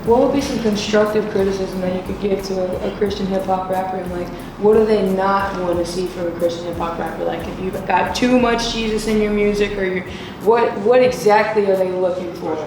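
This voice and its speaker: A woman's voice: mid-range voice, steady tone, fairly quick. A woman speaking up casually from an audience with informal, inquisitive questions and comments. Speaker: audience member asking questions. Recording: echoey room, distant mic, loud background noise.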